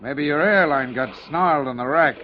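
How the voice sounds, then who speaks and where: An actor playing a brisk, hearty salvage boat crewman in old-time radio drama style. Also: a little high, natural voice. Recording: dull, noisy vintage radio recording.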